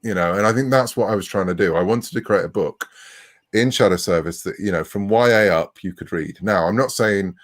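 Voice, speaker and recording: A man's voice: low voice, fairly quick. A man in his forties speaking conversationally as a writer being interviewed. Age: 40s. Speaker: interviewed writer of comics and novels.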